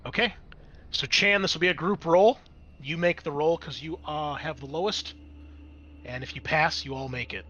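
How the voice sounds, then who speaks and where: Bright-voiced male game master, slightly muffled audio. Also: fairly high pitch, normal speed. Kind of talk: improvised tabletop game narration and rules talk.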